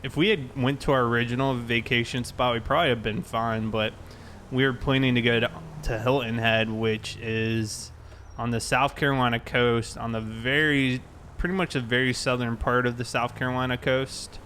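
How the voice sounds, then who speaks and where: A man's voice: medium pitch, fairly even intonation, moderate pace, pushed and bright. An American man hosts a game livestream in an easygoing, conversational manner. He chats casually as he plays, with some background vehicle noise behind his voice. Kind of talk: casual livestream chat while playing.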